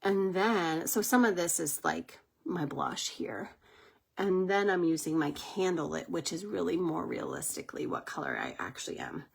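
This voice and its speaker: A woman with a cold, congested and husky, chatting casually while doing her makeup on a livestream, rambling and laughing often. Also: on the low side, big pitch swings, normal speed. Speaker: makeup live-stream host.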